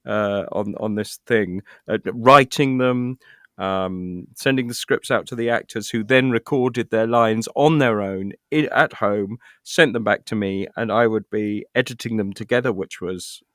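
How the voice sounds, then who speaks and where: Low-voiced British man, dry close sound. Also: a little slow, a bit bright, no room sound. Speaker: interview guest, actor and writer. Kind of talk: casual conversational interview.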